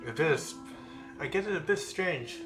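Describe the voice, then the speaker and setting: A man's voice: fairly high pitch, animated, a little slow, rough recording. Man muttering offhand stream asides. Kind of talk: casual live commentary over a game. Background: game music.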